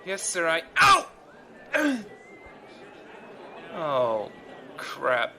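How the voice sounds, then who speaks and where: A man plays a nervous young rookie in a space audio drama. He has a bright, slightly high voice and stammers apologetically, with a bustle of people behind him. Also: huge pitch swings, drawn-out words, creaky, some chatter behind, exaggerated voice. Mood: flustered. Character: awestruck, clumsy, nervous young male rookie gunner.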